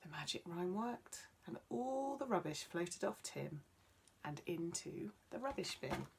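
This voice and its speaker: A woman storyteller narrating a bedtime story for children in an expressive style. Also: on the low side, unhurried, creaky, background noise.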